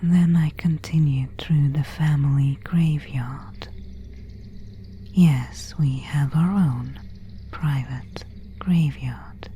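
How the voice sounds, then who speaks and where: A woman's voice: sits low, drawn-out words, mellow, a little hiss or hum. A woman playing an elegant, seductive vampire of an ancient family in a whispered ASMR roleplay, speaking intimately in formal, archaic phrases. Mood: composed, eerie. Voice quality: breathy, unvoiced whisper.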